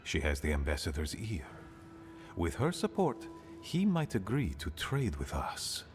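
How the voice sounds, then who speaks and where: Fantasy game: aging dwarf leader, low pitch with lively swings, grave, pragmatic, blunt, faint music behind. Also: male voice, a little slow, heavily acted. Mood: earnest, grave. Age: older. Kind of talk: fantasy video game dialogue.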